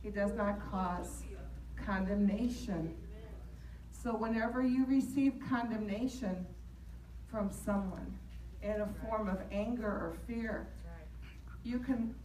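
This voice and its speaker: Older female, earnest church testimony, unhurried and emphatic, echoey distant sound. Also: fairly high pitch, steady tone, darkish tone. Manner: deliberate, emphatic. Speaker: church member giving testimony. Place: large church sanctuary. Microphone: handheld mic, picked up by distant camera. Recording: echoey church from far off, faint noise.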